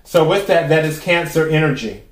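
A man with a raspy voice hosting a podcast, giving a casual, chatty tarot reading and musing aloud with drawn-out pauses. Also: on the high side, background noise. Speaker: tarot reader and podcast host. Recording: roomy echo.

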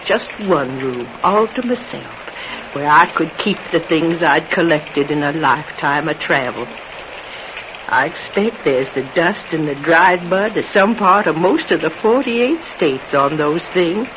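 High-voiced, animated old circus man, lo-fi. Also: unhurried. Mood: wistful, nostalgic. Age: older. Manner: wistful, folksy, rambling storytelling. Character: elderly retired circus performer, nostalgic, wary, talkative old man. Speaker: radio drama actor. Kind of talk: classic radio drama acting. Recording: dull, noisy vintage radio recording.

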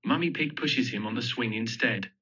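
A man's voice: medium pitch, normal speed, sharp tone, husky. A man reading a children's picture book aloud, telling the story simply and expressively. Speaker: storybook reader. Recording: dull lo-fi audio.

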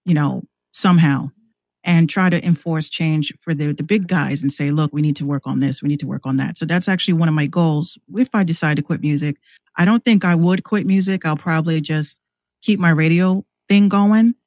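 An American woman chatting casually and candidly in a slangy, animated way, acting out exchanges as she tells stories. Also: deep voice, brisk, no room sound. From New York City, US. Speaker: podcast guest, rapper and radio show host. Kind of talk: casual conversational storytelling.

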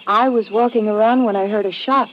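Actress as cool, guarded radio-drama wife. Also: female voice, fairly high pitch, fairly even intonation, brisk, natural voice. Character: cool, guarded married woman on jungle expedition. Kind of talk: old-time radio adventure drama acting. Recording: dull old radio recording, faint noise.

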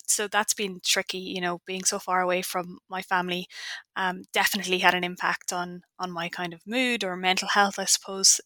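Irish woman author, young adult, bright tone, hesitant and reflective, dry close recording. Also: mid-range voice, no room sound. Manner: thoughtful, hesitant with frequent ums. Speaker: author guest. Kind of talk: podcast interview.

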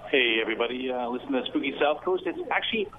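A man's voice: medium pitch, animated, fast talker, a little hiss or hum. Jokey man on a tinny phone line. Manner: jokey, rambling. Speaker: phone-in guest.

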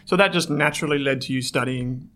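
A man's voice: mid-range voice, fast talker, a bit bright. A man hosting a podcast interview, asking questions and giving brief encouraging responses. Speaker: podcast host. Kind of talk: conversational interview.

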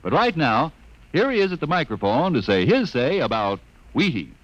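A man's voice: a little high, very animated, moderate pace, low-quality recording. A man announcing in the style of a 1950s radio commercial. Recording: vintage radio broadcast.

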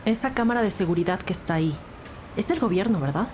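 A woman's voice: medium pitch, animated, fast talker, noisy background, lightly acted. A woman playing a businesslike, matter-of-fact police detective in an audio drama. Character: composed, businesslike female police detective, brisk and resolute.

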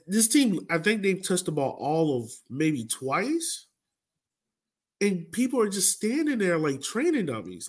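A man co-hosting a sports podcast, recapping a match in a colloquial, exasperated way. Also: high-pitched. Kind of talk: casual post-match soccer discussion.

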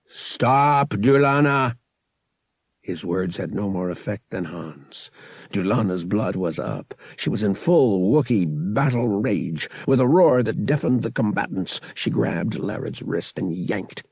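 Male audiobook narrator: low, raspy, expressive and suspenseful, with big swings in intonation. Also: dry recording, studio quality. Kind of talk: dramatic scripted storytelling.

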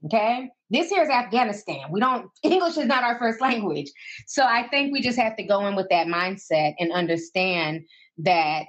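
A woman hosting a call-in show, chatting casually and giving listeners advice. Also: medium pitch.

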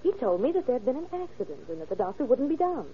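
An actress playing an earnest, worried doctor's secretary who gives her account briskly and precisely in an old radio crime drama. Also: high-pitched, big pitch swings, dark tone. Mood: certain, earnest. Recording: dull vintage radio recording, faint noise.

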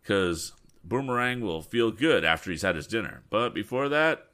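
A man's voice: lowish voice, animated, moderate pace, a bit bright, pitched above the performer's own voice. A man voicing a folksy, apologetic, stubborn mule driver from a storybook, with a hesitant, rambling dialect.

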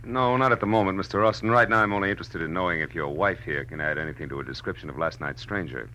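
An actor playing a wry, tough American private detective in 1940s radio style, with a sardonic, slangy delivery. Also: male voice, sits low, quick pace, bright, forceful tone, a little hiss or hum. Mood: dry, probing. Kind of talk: 1940s radio acting, with first-person narration. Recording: old radio recording, faint music.

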